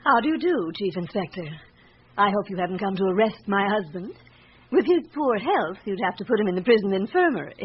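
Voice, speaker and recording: A woman's voice: mid-range voice, lively intonation, a little fast, natural voice. A woman playing an elegant, gushing society wife of about forty in a radio drama. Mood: arch. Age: middle-aged. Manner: gushing, arch, sociable. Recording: dull old radio recording, faint noise.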